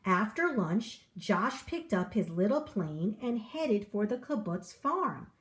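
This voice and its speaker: A woman reads a children's storybook aloud at a very unhurried pace. Her pitch sits in an ordinary middle range, and she delivers the story expressively, as a storyteller would. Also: very animated. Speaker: early childhood teacher reading a storybook. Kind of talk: children's picture book read-aloud.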